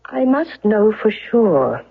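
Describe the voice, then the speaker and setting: Husky, insistent woman lawyer. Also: mid-range voice, sing-song, takes it slowly, exaggerated voice. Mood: pressing, intense. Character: earnest, probing woman defense lawyer, principled and plain-spoken. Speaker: actress in a radio drama. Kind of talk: radio mystery drama acting. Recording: dull, lo-fi old radio broadcast.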